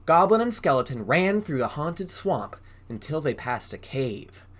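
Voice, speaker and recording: Guy doing storytime for little kids, super animated, asks them lots of questions. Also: fairly high pitch, measured pace. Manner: expressive, full of questions and prompts to the listener. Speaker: preschool acting teacher, storytime host. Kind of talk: animated interactive storytelling for young children.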